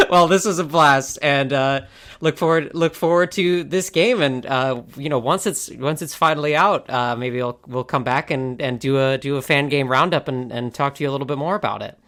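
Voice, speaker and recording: A woman's voice: a bass voice, moderate pace, fairly bright tone. A woman hosting a podcast in casual chat, laughing often and restarting her sentences. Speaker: podcast host.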